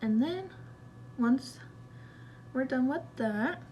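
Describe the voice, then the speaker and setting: A young woman walking through a makeup tutorial in a relaxed, chatty way, with loose fillers as she goes. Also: high-pitched, takes it slowly, muffled recording, slight background noise. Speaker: beauty vlogger. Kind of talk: casual makeup tutorial narration.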